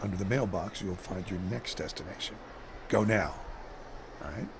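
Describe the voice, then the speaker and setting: A man's voice: sits low, moderate pace, background noise. A man playing a restless shelf stocker who stammers and hesitates. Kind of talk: amateur radio drama acting.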